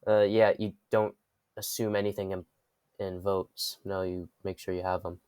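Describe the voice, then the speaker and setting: A man's voice: deep voice, level tone, moderate pace, a little mellow, smooth, no echo, studio quality. A man with a congested, stuffy voice giving casual political commentary, rambling, correcting himself and dropping wry asides. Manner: rambling, with frequent restarts and self-corrections. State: congested from a cold.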